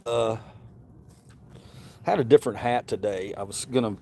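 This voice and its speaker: A man's voice: lowish voice, normal speed, mellow, slight background noise. A middle-aged man from Mississippi with a Southern accent, chatting casually on a livestream, rambling and repeating words. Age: Gen X, middle-aged. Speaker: livestream host.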